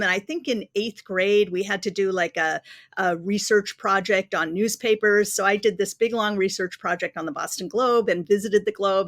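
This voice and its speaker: A woman's voice: mid-range voice, a bit bright, no echo, clean studio sound. A woman from Massachusetts, a political reporter, talking casually as a podcast guest with a chatty, conversational manner.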